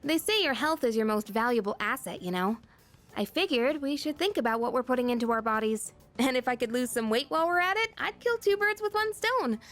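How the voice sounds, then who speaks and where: A woman playing a chatty, self-deprecating high school girl in a video game. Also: expressive, fairly quick, a bit bright. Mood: chatty, modest.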